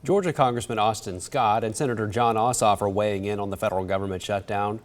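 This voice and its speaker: A male news anchor with a fairly low voice reads the script in a steady, measured manner. His tone is even and professional, suited to a local TV broadcast. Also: faint music behind.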